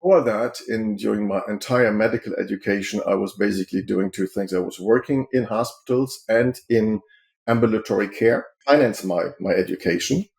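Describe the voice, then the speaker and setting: A middle-aged man from Germany with a lowish voice gives long interview answers. He explains step by step in a measured way, with ums and uhs between his points. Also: creaky, a little mellow. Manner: measured, explanatory, with frequent fillers. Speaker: healthcare consultant.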